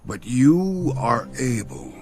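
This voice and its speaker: A man's voice: mid-range voice, very animated, takes it slowly, darkish tone, slight background noise, heavily acted. An actor playing a proud, solemn king in a dramatized scripture reading, speaking with stately deliberation. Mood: grave, commanding. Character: proud king recounting a troubling prophetic dream. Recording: clear music bed behind.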